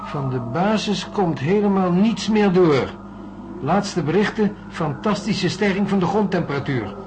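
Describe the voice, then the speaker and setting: A man's voice: high-pitched, expressive, measured pace, noisy background. An actor playing a grave, anxious male professor in a radio drama. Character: worried older male professor Hinden. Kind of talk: 1970s radio drama acting.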